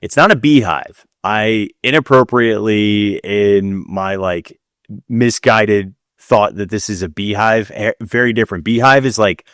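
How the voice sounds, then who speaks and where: Man, low-pitched, dry close sound, casual co-host, conversational discussion. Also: a little slow, fairly bright tone, smooth, no echo. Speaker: podcast co-host. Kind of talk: casual chatty discussion of listener questions.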